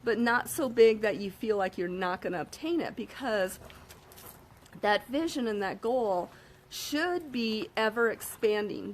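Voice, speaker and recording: A woman with a slightly hoarse voice, giving a casual motivational talk to camera and checking in with her listeners often. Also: medium pitch, slight background noise. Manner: upbeat, frequent "okay?" check-ins and fillers. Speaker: self-help vlogger.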